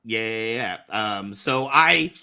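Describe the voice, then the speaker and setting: Male talk host, lively swings in pitch, forceful bright tone, excitable casual delivery. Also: takes it slowly, smooth. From United States, born in Vietnam. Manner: excitable, slangy. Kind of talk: casual chat.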